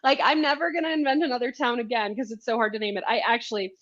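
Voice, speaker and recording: A woman author chatting casually in a live interview, telling stories in an animated, giggly way over a video call. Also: a little high, fast, muffled recording. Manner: chatty, animated storytelling, laughs often. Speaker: romance author being interviewed. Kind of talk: casual live book chat.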